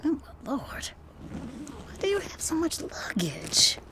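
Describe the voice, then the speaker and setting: A woman's voice: sits high, very animated, takes it slowly, pushed and bright, slight background noise, heavily acted. A woman with an American accent playing a worried, confrontational lover in an audio drama, speaking in an emphatic, plain-spoken way. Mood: casual, teasing. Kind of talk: naturalistic audio drama acting.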